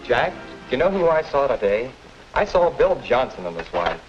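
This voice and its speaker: An actor playing a sociable, easygoing young American boyfriend, chatty and agreeable, in a 1950 educational film. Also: male voice, mid-range voice, sing-song, normal speed, natural voice. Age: young adult. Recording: dull old film track, music behind.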